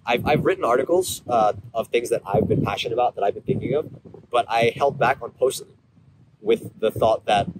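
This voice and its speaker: A man's voice: mid-range voice, fairly quick. A man in his twenties from Thailand, a guest in a casual outdoor conversation, explaining his views earnestly with frequent ums. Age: 20s. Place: outdoors under trees. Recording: loud outdoor ambient noise.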